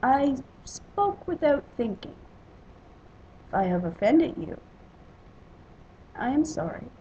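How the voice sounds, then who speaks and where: A woman acts out a sly male trickster god from a storybook myth. He is smug and insinuating, drawing his words out in a slightly high voice. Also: very animated, takes it slowly, mellow, highs cut off, a little hiss or hum, heavily acted. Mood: falsely apologetic.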